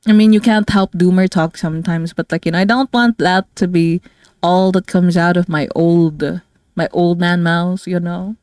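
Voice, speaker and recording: A woman's voice: lowish voice, steady tone, normal speed, darkish tone, clear, resonant tone, no echo, clean studio sound. A woman from the Philippines chatting casually on a livestream, rambling and giggling with lots of fillers. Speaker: streamer and artist.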